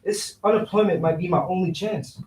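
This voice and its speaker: A man's voice: high voice, brisk, darkish tone, slight background noise, lightly acted. An actor playing a scheming, broke young city man in a staged reading, talking in slangy, persuasive street phrasing. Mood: bitter, venting. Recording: roomy echo, distant microphone.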